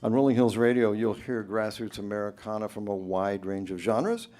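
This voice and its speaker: A man hosting a radio show, introducing a live concert from a club stage as an upbeat emcee who pauses between phrases. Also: on the low side, mellow.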